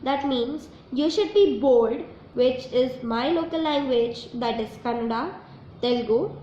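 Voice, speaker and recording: A woman with an Indian English accent gives an earnest, measured statement as an interviewee. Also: sits high, dark tone. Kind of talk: prepared statement. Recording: echoey, dull and slightly noisy.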